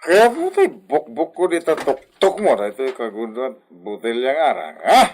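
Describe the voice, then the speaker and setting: A man's voice: mid-range voice, sing-song, moderate pace, a little mellow, a little hiss or hum, close to an everyday voice. An actor playing a threatening male village bully with an Ilocano accent in a comedy radio drama.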